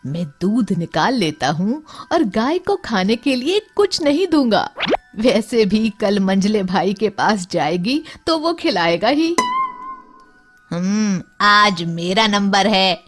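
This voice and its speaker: Woman playing a lazy, scheming village son, animated. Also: medium pitch, slow, soft background music. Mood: sly, scheming. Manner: slyly self-justifying.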